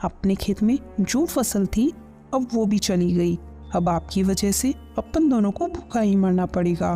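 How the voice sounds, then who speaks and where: Woman playing a mellow-voiced, bossy, scheming village wife. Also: mid-range voice, expressive, measured pace, natural voice. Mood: angry blaming. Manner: nagging, commanding. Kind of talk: Hindi kids' cartoon story dialogue. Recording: music under the voice.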